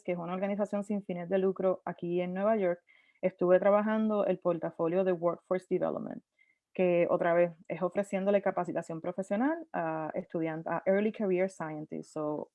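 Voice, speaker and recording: Woman in her 30s, animated panelist, mixes in English words, dull and dry call audio. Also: on the low side, no echo. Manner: lively, mixes in English terms, frequent restarts. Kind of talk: conversational storytelling on a virtual panel. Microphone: video call.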